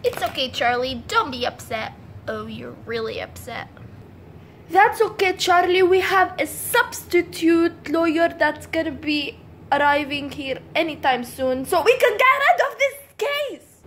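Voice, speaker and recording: A woman's voice: very high voice, expressive, unhurried, a bit bright, slight background noise, pitched below the performer's own voice, heavily acted. A girl voicing a grumpy male judge in a homemade courtroom story, who sounds stern and exasperated.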